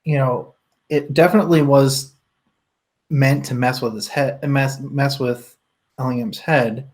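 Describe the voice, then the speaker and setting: A man's voice: medium pitch, steady tone, measured pace. Man, book club co-host, casual chat, informal rambling delivery, easily amused. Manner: rambling, informal, laughs often while talking.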